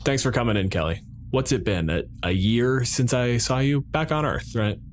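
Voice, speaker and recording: Man playing a deliberate, coolly authoritative colonial president. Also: medium pitch, a little hiss or hum, natural voice. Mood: politely formal. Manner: deliberate, formal, halting with pointed pauses. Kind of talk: improvised roleplay dialogue.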